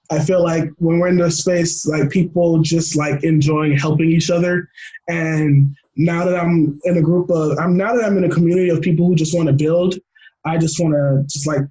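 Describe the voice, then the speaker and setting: High-pitched young American man, rambling. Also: dark tone, rough recording. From Florida, United States. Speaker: podcast guest. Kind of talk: casual interview talk.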